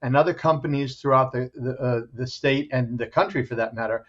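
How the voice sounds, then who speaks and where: A man's voice: medium pitch, a little fast. A man giving an enthusiastic, descriptive interview as a theater director promoting a show. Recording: dull, muffled lo-fi sound.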